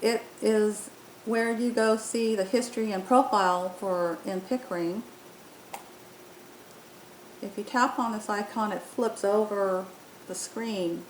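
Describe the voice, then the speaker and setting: Woman, mid-pitched, tutorial narration, hesitant and explanatory, roomy echo, faint background rumble. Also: takes it slowly, pauses often. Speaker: app demo presenter. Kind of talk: unscripted software tutorial narration. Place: indoor room, voice-over on screen recording.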